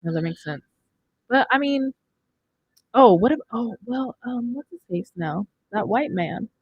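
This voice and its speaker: A woman's voice: fairly high pitch, expressive, measured pace, husky, no echo, muffled recording. A young woman hosting a casual music podcast, chatting into a close studio microphone. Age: young adult. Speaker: radio show host. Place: indoor studio. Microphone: close studio mic on boom arm, headphones.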